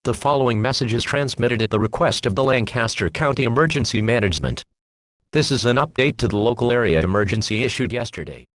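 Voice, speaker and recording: A man reading scripted emergency alerts and weather bulletins as a radio announcer, in a flat, official, impersonal manner. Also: medium pitch, quick pace, no room sound.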